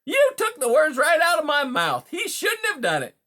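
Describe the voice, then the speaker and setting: A narrator voicing a huge male beaver in a storybook, a brash, folksy, smooth-talking bully who talks over others. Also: sits high, wildly swooping pitch, normal speed, bright, forceful tone, voice pushed up, exaggerated voice. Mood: pushy. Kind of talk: audiobook character dialogue.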